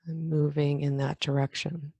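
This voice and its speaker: A woman leading a guided meditation in a calm, unhurried manner, leaving long pauses between phrases. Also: sits low, creaky, no room sound. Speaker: meditation guide, yoga nidra teacher. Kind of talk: guided meditation, body scan.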